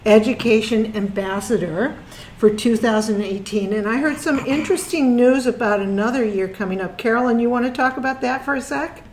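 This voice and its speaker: A woman's voice: on the high side, brisk, darkish tone, a little hiss or hum, low-quality recording. A woman hosting an interview, enthusiastic as she introduces guests and asks questions. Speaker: host.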